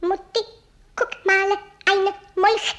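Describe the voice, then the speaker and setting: Super high little talking newt, slow n childlike, parrots stuff, radio play. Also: female voice, flat delivery. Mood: childlike parroting. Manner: slow, mechanical parroting and reading aloud. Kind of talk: German radio play acting.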